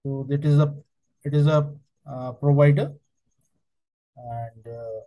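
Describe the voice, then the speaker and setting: A man working as a software trainer, narrating a step-by-step tutorial in halting, piecemeal phrases. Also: mid-range voice, fairly even intonation, muffled recording.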